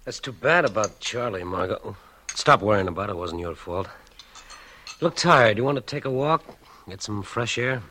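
Steady consoling man, lowish and forceful, vintage radio drama, slight noise. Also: normal speed. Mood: soothing, firm. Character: reassuring, practical man consoling a troubled woman. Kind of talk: 1940s radio drama acting. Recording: noisy vintage radio recording.

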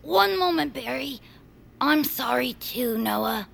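A woman playing an earnest, hesitant best friend in an audio comedy, deliberate and matter-of-fact with dry wit. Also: high-pitched, lively intonation, gravelly, a bit bright, heavily acted. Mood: sincere. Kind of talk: audio comedy drama acting.

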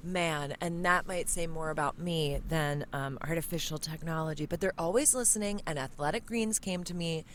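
A woman with a low voice chats on a podcast from her home recording setup. She rambles and laughs often. Faint noise sits behind her. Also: moderate pace, hardly any pauses, a bit bright. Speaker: podcast guest. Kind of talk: casual podcast chat. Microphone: studio mic with headphones.

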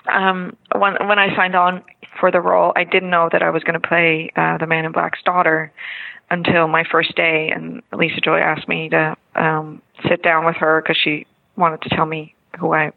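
A Dutch-born actress answering interview questions in a hesitant way, with many fillers and restarts. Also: female voice, low voice, creaky. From Netherlands. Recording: tinny phone-like line.

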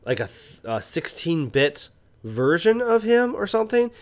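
A man's voice: a little high, very animated, moderate pace. Man chatting casually about films. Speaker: podcast co-host and film reviewer. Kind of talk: casual film discussion.

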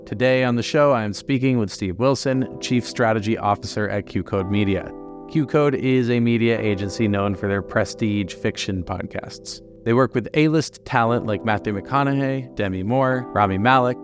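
Male podcast host for intros and guest questions, lower register, clear music bed. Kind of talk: scripted show introduction and interview questions.

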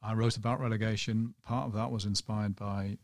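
A man with a Northern English accent, a journalist guest on a casual podcast, talking in a flat, self-described monotone and rambling a little. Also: lowish voice, brisk, dry recording, studio quality.

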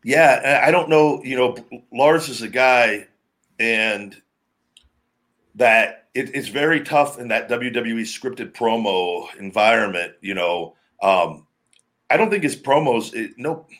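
A man with a heavy, booming voice, talking shop on a casual podcast. He rambles freely, circling back on his thoughts, at a mid pitch for a man. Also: takes it slowly, fairly bright tone. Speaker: podcast host, former pro wrestler.

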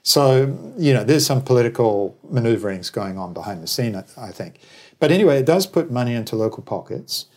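A man originally from New Zealand gives conversational commentary in an interview. His voice sits at a middle pitch. He is discursive and opinionated, with a wry way of telling anecdotes. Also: animated, a little fast, a little mellow. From New Zealand, later Australia. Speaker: interview guest, travel business operator.

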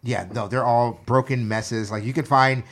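A man's voice: medium pitch, fairly even intonation, normal speed. A man co-hosting a casual podcast chat. He talks with enthusiasm and fills his sentences with "like" and "you know.". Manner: enthusiastic, filler-heavy, says "like" a lot.

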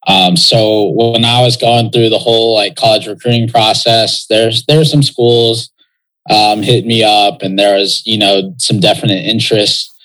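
A man's voice: lowish voice, steady tone, measured pace, fairly bright tone, low-quality recording. A young man from Texas, a pro soccer player talking as an interview guest in a loose, reflective way, with lots of fillers. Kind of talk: casual interview talk.

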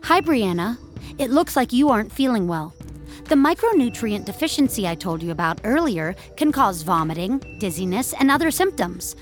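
A woman's voice: fairly high pitch, sing-song, normal speed, soft background music. A woman voicing a polite, helpful but pushy health app assistant in scripted audio fiction. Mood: politely insistent.

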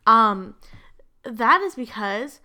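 A woman's voice: fairly high pitch, lively intonation, very slow, bright, forceful tone. A young woman hosting a casual sports podcast, chatty with many fillers, still getting over bronchitis. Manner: chatty, lots of fillers, laughs easily. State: recovering from bronchitis, lingering cough. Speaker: podcast host.

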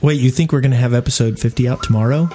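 A man's voice: mid-range voice, very fast, dark tone, a little hiss or hum. A man chatting casually as a panelist on a podcast. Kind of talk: casual chat.

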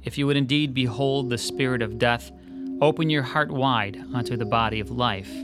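A man's voice: medium pitch, moderate pace, over music, close to an everyday voice. An actor playing a polite, slightly hesitant male sketch artist in a radio drama. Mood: reading aloud.

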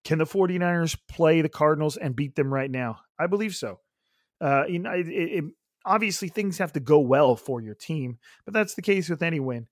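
A young man hosting a solo podcast, his voice raspy and hoarse, rambling casually with many fillers. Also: fairly high pitch, normal speed, no room sound, clean studio sound. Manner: rambling, upbeat, lots of fillers. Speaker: podcast host.

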